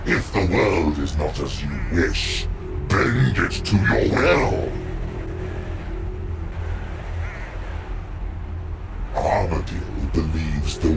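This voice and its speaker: A domineering video game war god with an extremely low, gravelly voice. He speaks very slowly and grandly, every phrase weighed like a proclamation of strength. Music plays clearly behind him. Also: lively intonation, full character voice. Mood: scornful, forceful. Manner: grandiose, deliberate, declamatory. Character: ruthless male warlord war god, proud and domineering. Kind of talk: dramatic video game character monologue.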